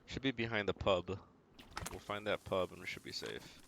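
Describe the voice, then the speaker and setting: Low creaky-voiced guy streaming a game, chill thinking out loud, bit of game noise behind him. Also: fairly even intonation, moderate pace. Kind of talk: casual gameplay commentary.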